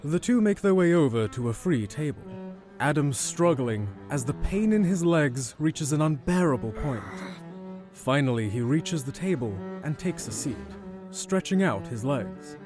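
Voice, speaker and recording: A man's voice: fairly high pitch, lively intonation, unhurried. Male narrator over prominent string music. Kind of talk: scripted audio drama narration.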